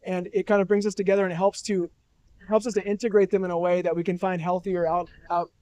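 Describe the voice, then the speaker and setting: A man's voice: sits high, little pitch movement, quick pace, dark tone. A young man from New Hampshire, a musician being interviewed at a festival, talking in a thoughtful, rambling way with many restarts. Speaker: musician guest. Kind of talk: casual interview.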